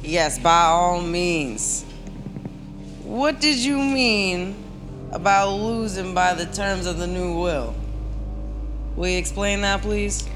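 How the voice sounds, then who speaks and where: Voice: mid-range voice, slow pace, long pauses, music in the background, close to an everyday voice. A performer playing a commanding police captain who questions suspects in a parody of an old radio mystery. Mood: inquiring.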